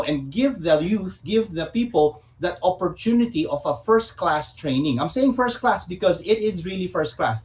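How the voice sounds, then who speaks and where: Man, Filipino accent, slightly high voice, casual interview talk, halting, repetitive phrasing. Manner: halting, repetitive, frequent "you know" and "right?". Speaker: guest, bobsled team organiser.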